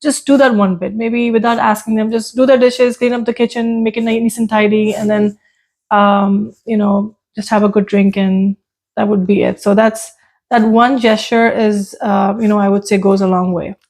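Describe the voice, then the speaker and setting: A woman's voice: mid-range voice, steady tone, normal speed. A woman in her thirties hosting a parenting podcast, chatting casually with many fillers and restarts. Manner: warm greeting, conversational with fillers and repeats. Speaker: podcast host.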